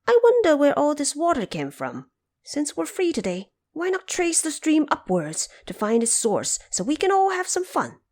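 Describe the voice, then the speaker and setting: A playful, curious monkey as a woman acts it out from a storybook audio drama. The voice is high and swings about as it eagerly asks and exclaims. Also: wildly swooping pitch, normal speed, a little mellow.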